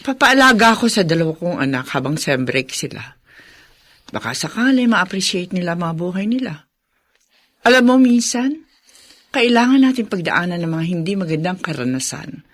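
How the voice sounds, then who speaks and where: Kind, reassuring sister-in-law, lively intonation. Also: female voice, lowish voice, moderate pace. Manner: reassuring, gently philosophical. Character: kind, patient older sister-in-law and mother of two. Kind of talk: Filipino radio drama acting.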